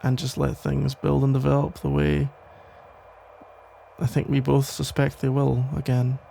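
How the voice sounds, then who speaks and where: Male actor for protective police officer ex, ASMR roleplay: very creaky, gravelly voice, tender, halting, soothing delivery over faint background noise. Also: medium pitch, level tone, mellow. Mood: reassuring, careful. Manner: hesitant, reassuring, halting phrases with pauses. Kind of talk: intimate ASMR roleplay, soft conversational acting.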